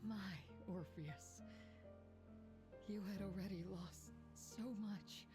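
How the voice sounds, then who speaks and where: A woman's voice: mid-range voice, takes it slowly, natural voice. An actress playing a stern, battle-hardened female colonel, weary and remorseful, in a dramatic anime game. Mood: weary, regretful. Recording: loud music behind. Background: loud game music.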